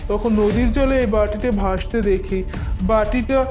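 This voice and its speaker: A woman's voice: medium pitch, moderate pace, darkish tone, soft background music, natural voice. A woman voicing a mild, good-natured husband bird in a children's cartoon, with an earnest, placating manner.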